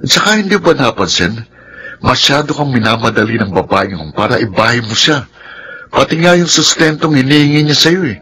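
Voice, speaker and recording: Mid-pitched, admonishing Filipino man, voiced by an actor. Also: big pitch swings, fairly quick, background noise, lightly acted. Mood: scolding. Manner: admonishing, reasoning. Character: worried, scolding male friend and business partner. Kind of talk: Filipino radio drama acting.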